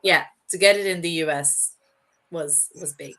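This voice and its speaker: Low-voiced woman, unwell and losing her voice. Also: moderate pace, bright, forceful tone. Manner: informal, anecdotal. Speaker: podcast guest, startup founder. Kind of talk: casual interview talk.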